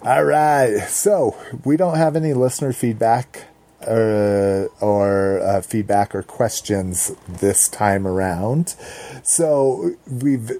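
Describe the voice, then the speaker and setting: A man's voice: lowish voice, takes it slowly, dark tone. A man hosting a podcast in casual chat, rambling and searching for words with many fillers. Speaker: podcast host.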